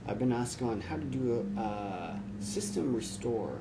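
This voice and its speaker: A man in his forties explaining computer steps to camera in a relaxed, chatty way with frequent fillers, filmed in a small office. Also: medium pitch, unhurried, very noisy. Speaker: tech tips host. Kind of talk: casual how-to explanation to camera.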